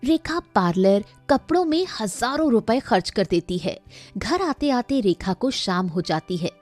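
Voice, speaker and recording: A woman's voice: a little high, lively intonation, unhurried, a little mellow. A woman narrating a scripted story in a dramatic storytelling style. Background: faint music.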